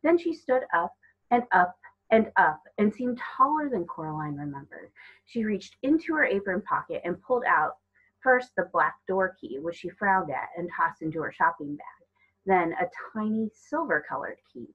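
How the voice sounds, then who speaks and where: Female storyteller for children's fiction: lower register, husky touch, narrated read-aloud, muffled recording. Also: sing-song, moderate pace. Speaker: storybook reader. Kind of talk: reading a children's novel aloud.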